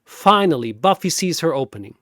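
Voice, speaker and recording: A man narrating a scripted battle story in a theatrical, deliberate way, like a dramatic commentator. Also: fairly high pitch, very animated, fairly bright tone, no room sound, clean studio sound.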